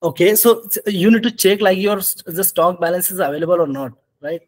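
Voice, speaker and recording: A man with an Indian accent walking through software slides as a webinar presenter, in halting, repetitive phrasing. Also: high voice, steady tone. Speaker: webinar presenter, ERP consultant. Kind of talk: software demonstration and slide walkthrough. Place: indoor room, on a video call.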